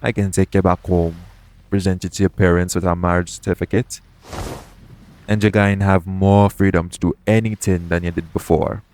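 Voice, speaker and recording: A man playing a shady, smooth-talking pirate captain in an audio roleplay, coaxing and self-assured in a conversational way. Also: deep voice, flat delivery, normal speed, darkish tone, lightly acted. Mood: persuasive. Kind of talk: second-person audio roleplay acting.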